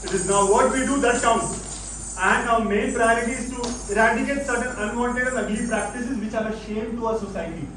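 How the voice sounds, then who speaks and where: A man's voice: very high voice, moderate pace, fairly bright tone, faint music behind. Male actor as conservative Indian father, formal, admonishing, stage drama, very echoey, distant mic. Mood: stern. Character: stern, conservative Indian father, older patriarch. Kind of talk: stage play acting. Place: indoor theatre stage.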